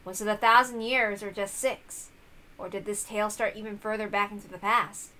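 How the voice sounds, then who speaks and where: A woman's voice: medium pitch, level tone, normal speed, sharp tone. A woman reading a visual novel aloud as dramatic scripted narration.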